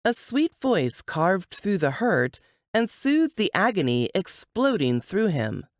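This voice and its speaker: A woman's voice: medium pitch, sing-song, takes it slowly, dry recording, studio quality. A woman reading scripted fiction narration as an audiobook narrator.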